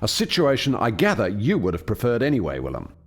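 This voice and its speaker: A man playing an authoritative alien scientist who leads a laboratory, lecturing in a formal, measured manner in a radio play. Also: mid-range voice, wildly swooping pitch. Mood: composed, firm. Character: Doctor Foster, authoritative male alien scientist leading a lab.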